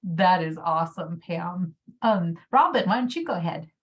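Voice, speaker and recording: A woman's voice: lowish voice, animated, moderate pace. A woman informally facilitating an online meeting, heard through a distant, dull microphone.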